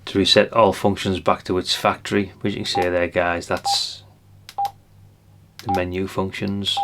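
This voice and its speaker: Low-voiced, gravelly older man. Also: little pitch movement, unhurried, noisy background. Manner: chatty, unscripted, thinking aloud with tag questions. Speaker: presenter of a radio gadget review channel. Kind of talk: casual hands-on product demonstration.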